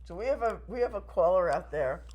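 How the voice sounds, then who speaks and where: A woman's voice: lowish voice, lively intonation, a little fast, a little mellow, dull audio. A woman co-hosting a podcast in casual chat, blunt and emphatic, bantering and repeating herself. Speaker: podcast co-host.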